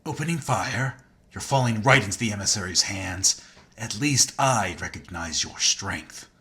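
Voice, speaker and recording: A man's voice: fairly low pitch, lively intonation, a little slow, noisy background. A man voicing a proud, scornful hunter in a sci-fi video game, taunting and sardonic with cool menace.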